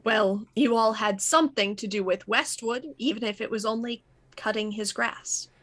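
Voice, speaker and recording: Woman acting a female inspector, highish bright voice, shrewd, composed, measured, radio mystery. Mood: firm. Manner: deliberate, probing, measured.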